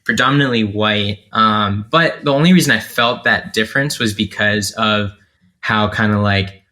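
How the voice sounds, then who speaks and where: Man from North Carolina, interview guest, low pitch, bright tone, thoughtful and rambling. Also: normal speed. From North Carolina, USA. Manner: reflective, many fillers and restarts. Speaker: guest, content creator. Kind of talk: casual interview talk.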